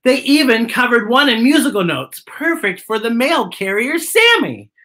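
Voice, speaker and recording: A middle-aged American man reads to children as a storytime host. The bright voice swings widely in pitch, with theatrical exclamations and stretched-out emphasis. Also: sing-song, moderate pace. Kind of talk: children's storytime read-aloud.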